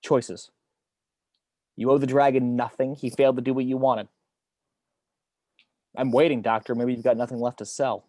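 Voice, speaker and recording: A man's voice: mid-range voice, level tone, normal speed, long pauses, dark tone, dry recording, close to an everyday voice. A driven, tense male investigator, played by a man in a drama read. He speaks tersely and insistently, holding himself in control, with a mid-range voice in a very dry space.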